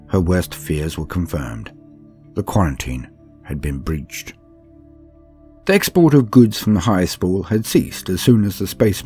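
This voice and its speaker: A man reading scripted narration like an audiobook, in a calm, steady, measured manner. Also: low-pitched. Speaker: narrator reading a campaign book. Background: faint background music.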